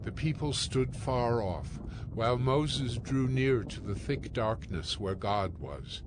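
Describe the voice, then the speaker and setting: A man's voice: medium pitch, animated, slow, noisy background. A man narrating scripture from a script as part of a dramatized audio production.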